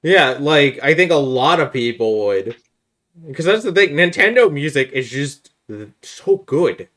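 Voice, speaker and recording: A man's voice: on the high side, lively intonation, unhurried, a bit bright. A man hosting a casual podcast chat about video games, making his points in an informal, persuasive way. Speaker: podcast host.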